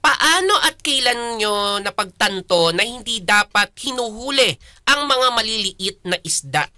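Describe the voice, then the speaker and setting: A woman's voice: fairly high pitch, lively intonation, a little slow, sharp tone, no room sound, clean studio sound. A woman with a Filipino accent, co-hosting and asking questions in a radio interview.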